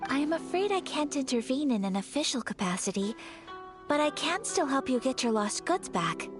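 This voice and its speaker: An actress voicing a dignified eldest daughter of a noble clan in a video game, formal, measured and commanding. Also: female voice, high-pitched, big pitch swings, music in the background. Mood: composed, formal.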